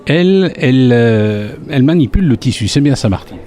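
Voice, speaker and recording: A male radio host with a mellow, mid-pitched voice runs a friendly local interview. He talks chattily and stumbles over his words, often restarting mid-phrase. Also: lively intonation, brisk, soft background music. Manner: repeats intro lines, stumbling restarts, chatty.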